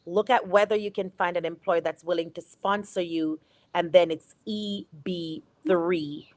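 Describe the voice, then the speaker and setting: A woman's voice: fairly low pitch, steady tone, measured pace, darkish tone, no echo. A woman giving immigration advice to callers on a live show, encouraging and emphatic. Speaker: immigration advisor on a call-in show.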